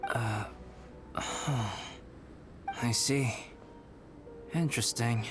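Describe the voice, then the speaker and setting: An actor playing a calm, analytical and polite young alchemist in an anime-style video game, speaking in a measured, formal way. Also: male voice, mid-range voice. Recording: game music behind the voice.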